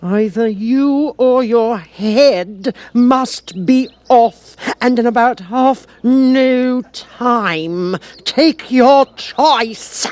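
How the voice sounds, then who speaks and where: Man putting on a shrill, bellowing storybook Queen. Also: dry recording, full character voice. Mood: threatening. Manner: shouting, imperious, drawn-out delivery. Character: savage, bossy Queen barking orders and threats. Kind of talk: storybook character voice.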